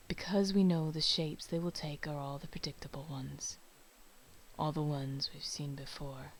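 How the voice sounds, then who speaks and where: A woman giving a dramatic, solemn poetry reading, measured, with phrases that often trail into breath. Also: sits low, poor audio. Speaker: poetry reader.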